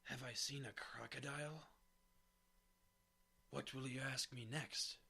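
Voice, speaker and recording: A man's voice: medium pitch, fairly even intonation, unhurried, creaky, bright, forceful tone, husky, voice pushed up, exaggerated voice. A man voicing a pompous, long-winded python snake who speaks in grand formal phrases. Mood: scornful.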